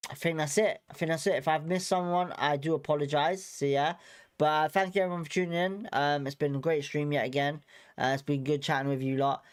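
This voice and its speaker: A slightly high-voiced man talks casually on a live stream. He sounds bunged up from a cold and rambles, repeating words and filling pauses with "um" and "you know". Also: clear, resonant tone. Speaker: fan channel host.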